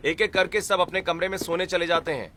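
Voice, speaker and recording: A man's voice: high voice, steady tone, a little fast, sharp tone, slight background noise. A man narrating a scripted Hindi family story.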